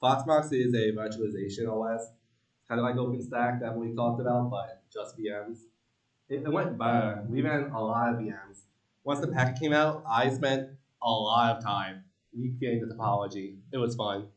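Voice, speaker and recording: A young man presents his student team's work in a loose, joking way, filling pauses with um. His voice sits at an ordinary pitch. It reaches the mic from across an echoey room. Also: recorded from a distance. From Long Island, New York. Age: young adult. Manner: relaxed, joking, many ums. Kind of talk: casual team presentation.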